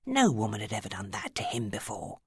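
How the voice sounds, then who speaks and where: A woman's voice: extremely low pitch, huge pitch swings, a little fast, darkish tone, husky, dry recording, studio quality. A woman with an English accent reading a novel as an audiobook narrator, in a dramatic scripted style.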